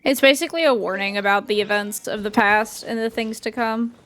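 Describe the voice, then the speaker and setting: A woman's voice: medium pitch, brisk, a bit bright. A woman game streamer giving casual, informal and reactive commentary as she plays.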